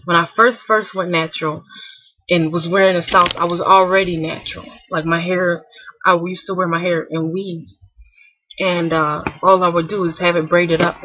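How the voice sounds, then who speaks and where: A woman in her twenties chatting casually to camera at home. She rambles with lots of fillers and restarts, and keeps her voice soft so she won't wake a napping child. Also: fairly low pitch, muffled recording, slight background noise. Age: 20s. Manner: speaking softly to keep a napping child asleep. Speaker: hair vlogger.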